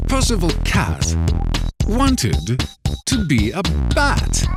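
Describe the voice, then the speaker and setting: A storyteller reading a scripted children's tale in a playful, dramatic manner with drawn-out phrasing. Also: medium pitch. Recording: clear music bed behind.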